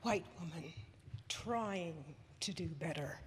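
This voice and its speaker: A woman's voice: on the low side, takes it slowly. A woman reciting short scripted personal statements, recorded with long hall reverb.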